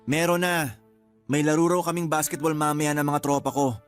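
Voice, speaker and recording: A man's voice: a little high, steady tone, normal speed, soft background music, lightly acted. A man playing a sulky, complaining young boyfriend in a radio drama, whiny and exasperated in manner. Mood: defensive, sulky. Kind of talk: Tagalog radio drama acting.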